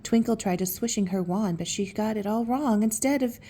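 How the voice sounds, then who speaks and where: A woman reading a picture book aloud to young children, with gentle, admiring, chatty asides. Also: mid-range voice, normal speed, slight background noise.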